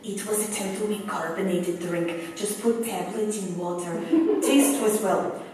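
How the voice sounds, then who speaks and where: A woman playing a dry, proud Soviet inventor with a Russian accent and clipped, deadpan delivery in a staged radio play. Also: medium pitch, a little slow, lightly acted. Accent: Russian, put on. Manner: clipped, article-dropping, deadpan. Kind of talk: staged 1940s-style radio play acting. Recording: echoey hall from far off, noisy.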